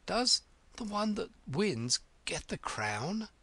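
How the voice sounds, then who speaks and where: A man's voice: a little high, big pitch swings, a little slow, vocal fry, fairly bright tone, husky, no echo, pitched above the performer's own voice, exaggerated voice. A man voicing a polite, curious young girl in a storybook, with a questioning manner.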